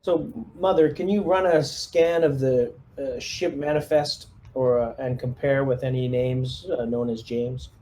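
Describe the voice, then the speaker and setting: Mellow, hesitant man playing a tabletop game. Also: mid-range voice, fairly even intonation, unhurried, hardly any pauses, slight background noise. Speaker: tabletop player acting as squad commander. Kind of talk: casual in-game radio orders and table talk.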